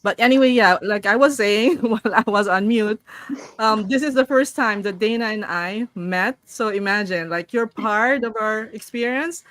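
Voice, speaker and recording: Woman, online host, chatty, reads story haltingly, dry close sound. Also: medium pitch, no echo. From Philippines. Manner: chatty, warm-up greetings, frequent restarts while reading. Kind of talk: casual online talk, reading a story aloud.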